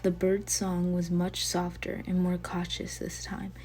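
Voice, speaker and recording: Low, flat-voiced woman with a cough. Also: measured pace. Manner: casual, chatty reading with fillers. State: getting over a cough. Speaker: storyteller. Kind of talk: reading a horror story aloud. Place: at home.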